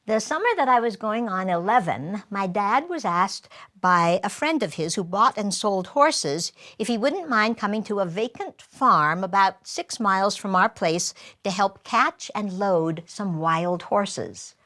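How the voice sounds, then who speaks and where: A woman tells a first-person story at a slow, measured pace. Her delivery is expressive and deliberate, with dramatic pauses between phrases. Her voice sits at a medium pitch.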